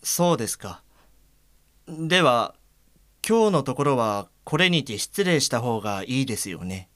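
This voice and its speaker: A sensitive, perceptive blind man, played by a male actor in a radio drama. He talks reflectively in a medium-pitched voice with lively melody, recorded dry. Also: unhurried, no room sound. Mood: polite, concerned. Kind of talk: Japanese audio drama acting.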